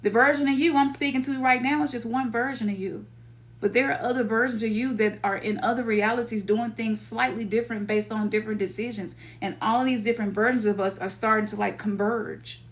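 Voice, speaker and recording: A woman's voice: fairly high pitch, steady tone, fairly quick. Memphis woman giving a loose spiritual talk. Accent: Memphis Southern. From Memphis, Tennessee. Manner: loose and conversational, slangy, acts out her own inner voice. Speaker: spiritual teacher. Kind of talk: casual spiritual talk to listeners.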